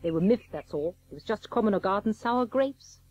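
An actress playing an indignant, insistent eyewitness in a radio comedy. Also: female voice, mid-range voice, animated, brisk, a little mellow, natural voice. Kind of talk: radio comedy drama acting. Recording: dull lo-fi radio recording.

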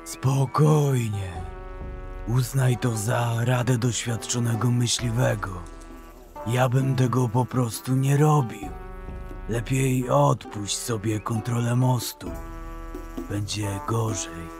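A man acting a calm, seasoned, matter-of-fact hunter in a fantasy audio drama. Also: mid-range voice, takes it slowly. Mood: calm, cautioning. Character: calm, seasoned male hunter giving unwelcome advice. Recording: music under the voice.

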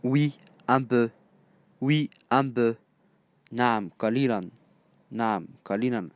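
A man's voice: medium pitch, dry recording. Dry, close Egyptian male language teacher. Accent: Egyptian Arabic. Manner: repeats each phrase slowly, then glosses it in quick colloquial asides. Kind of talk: phrase-by-phrase language lesson.

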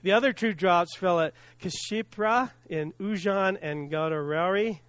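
A man's voice: sits high, dry recording. Earnest middle-aged male pastor preaching. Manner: earnest, preaching from a lectern with pauses and soft drops; self-deprecating laugh at hard words. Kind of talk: sermon. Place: church sanctuary with stage and screen. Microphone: stand mic at lectern, filmed from the back.

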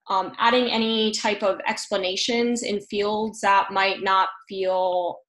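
A young woman presenting a team update on a video call, earnest and often pausing mid-sentence. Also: medium pitch, a bit bright, low-quality recording. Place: room at home, indoors. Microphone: computer mic on a video call.

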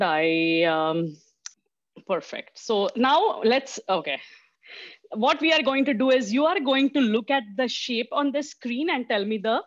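A woman in her forties from Mumbai giving a mentorship talk over a video call, halting with many fillers and restarts. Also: on the low side, dry recording. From Mumbai, India. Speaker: guest speaker. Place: small room indoors. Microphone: headset on a video call. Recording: dull lo-fi video call audio.

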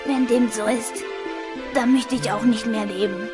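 An anguished young lover from a fantasy audio play , acted by a woman. The high voice declaims theatrically, with music playing behind it. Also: fairly even intonation, a little slow, close to an everyday voice. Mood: solemn, grieving. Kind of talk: amateur audio drama acting.

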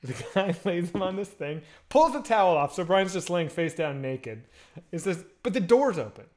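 Man, 30s, slightly high voice, crude animated storyteller, headset mic, home video call. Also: moderate pace. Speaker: podcast host. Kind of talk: casual chat. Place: home room with bookshelves.

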